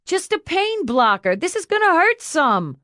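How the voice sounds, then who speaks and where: A woman's voice: extremely high pitch, very animated, a little slow, a bit bright, no echo, clean studio sound, voice pushed up, exaggerated voice. A woman voicing a cheerful, long-suffering emergency medic, chirpy and matter-of-fact. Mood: brisk.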